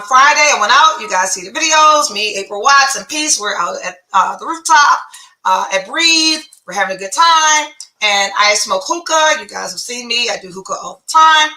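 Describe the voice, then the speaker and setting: A woman hosts her own podcast and talks straight to the listener in a blunt, profane, animated way. Her voice sits a little high and has a bright, forceful edge, with a congested, phlegmy sound in her throat. The room around her has a noticeable echo. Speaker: podcast host. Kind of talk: casual storytelling monologue.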